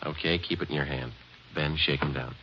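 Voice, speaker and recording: An actor playing a terse, businesslike police sergeant who narrates his case in a flat, clipped, matter-of-fact way in an old radio crime drama. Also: a bass voice, brisk, noisy background. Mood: stern. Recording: dull old radio recording, music behind.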